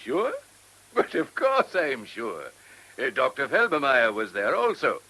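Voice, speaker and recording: An actor in a radio drama playing an obliging, urbane judge with an effusive manner. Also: male voice, mid-range voice, wildly swooping pitch, a little slow, pushed and bright, husky, full character voice. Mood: genial, assured. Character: genial, obliging German judge backing an alibi.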